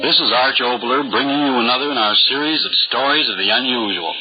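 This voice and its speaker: A man as an ominous male horror host, calm, solemn, deliberate, vintage radio introduction, muffled sound, loud music. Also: mid-range voice, lightly acted. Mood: solemn warning. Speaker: host and announcer.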